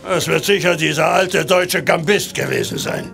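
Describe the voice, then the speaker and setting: An actor playing a grumpy, impatient old landlord in an audio drama, with a gruff, curt way of talking and a gravelly voice. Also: male voice, a little high, moderate pace, a bit bright, faint music behind. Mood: grumbling. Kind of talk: German audio drama acting.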